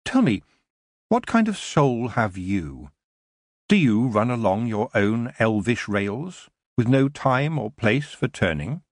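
A man reading an audiobook, voicing a firm young witch girl who speaks with measured authority. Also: medium pitch, sing-song, no echo, clean studio sound, exaggerated voice.

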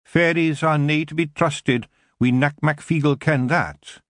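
A tiny, fierce Scottish fairy matriarch talks high and loud, as a man voices her. She is blunt and gruff, rolling out broad dialect. The sound is dry and close. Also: steady tone, a little slow, no echo.

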